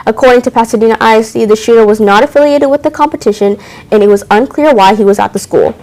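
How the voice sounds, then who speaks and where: A teenage girl anchoring a school news show, reading announcements briskly with occasional stumbles. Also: on the high side, a little mellow, low-quality recording. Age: teens.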